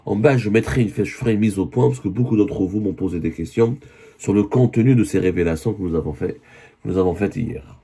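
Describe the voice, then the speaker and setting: Hoarse low-voiced man. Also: brisk, dark tone. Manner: earnest, with many self-corrections. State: hoarse from a cold. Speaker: online news commentator. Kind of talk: spoken video commentary.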